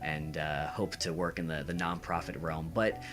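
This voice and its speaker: A young man answering casually as a podcast guest, earnest and halting, repeating words. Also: rumbling low, level tone, music in the background. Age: young adult.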